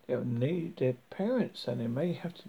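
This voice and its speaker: A man with a husky, creaky voice reading a first-person story dramatically, haltingly and stumbling over words as a horror host. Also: medium pitch, animated, very noisy.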